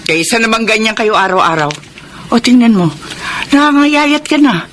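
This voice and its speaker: A woman's voice: on the high side, normal speed, a bit bright, a little hiss or hum, natural voice. A woman playing an older, protective and scolding grandmother in a Filipino radio drama, exclamatory and firm. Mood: concerned, urging.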